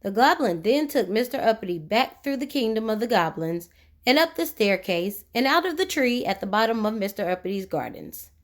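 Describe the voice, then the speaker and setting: A woman's voice: fairly high pitch, sing-song, moderate pace. A woman narrating a children's storybook expressively, putting questions to young listeners. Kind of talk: animated read-aloud narration of a children's storybook.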